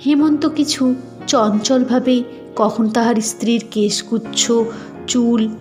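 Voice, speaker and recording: Very slow-paced woman storyteller. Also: on the high side, a little mellow, soft background music. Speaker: story narrator. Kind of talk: scripted literary narration.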